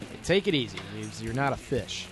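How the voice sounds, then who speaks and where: A man's voice: mid-range voice, very animated, a little fast, lightly acted. Guy doing friendly young speakeasy boss, old radio play, medium voice, music in back. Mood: concerned, friendly. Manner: easygoing, chummy. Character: young, friendly, well-to-do male speakeasy owner. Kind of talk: 1940s radio acting.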